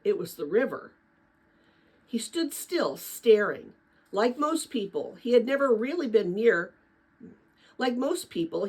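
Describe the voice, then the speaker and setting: Female storybook narrator, animated and expressive, with a husky grain, reading middle-grade fiction dramatically. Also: medium pitch, low-quality recording. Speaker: read-aloud narrator.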